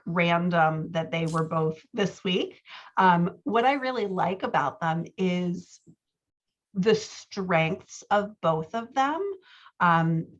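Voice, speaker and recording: A woman's voice: lowish voice, lo-fi sound. A woman working as a writing coach and podcast host, giving casual, encouraging feedback in conversation. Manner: encouraging, affirming.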